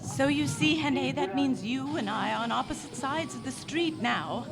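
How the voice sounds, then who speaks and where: A woman's voice: high-pitched, lively intonation, normal speed, creaky, music in the background. An actress in a live radio play playing an impassioned young pacifist Englishwoman who speaks in declamatory bursts. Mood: somber. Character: passionate pacifist young Englishwoman, fiery idealist.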